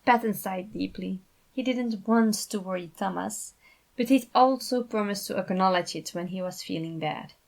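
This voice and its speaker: Woman narrating a story, medium pitch. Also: normal speed, gravelly. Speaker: fan fiction author and reader.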